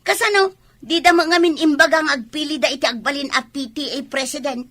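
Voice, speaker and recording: A woman's voice: sits high, fairly quick, sharp tone. A woman playing a sulky, indignant Ilocano wife who whines and grumbles in a comedy radio drama. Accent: Filipino, Ilocano. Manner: whining, grumbling.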